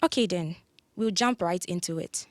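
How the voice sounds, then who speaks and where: An actress playing a polite young television interviewer in an audio drama, professional and courteous. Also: female voice, lowish voice, normal speed, no echo, natural voice. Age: young adult.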